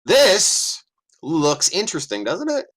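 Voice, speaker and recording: A man's voice: on the high side, slow pace. A middle-aged man, a guest game master, chatting casually on a livestream call and cursing offhandedly as he hunts through his screen. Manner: lively, swears casually, mutters while searching his screen.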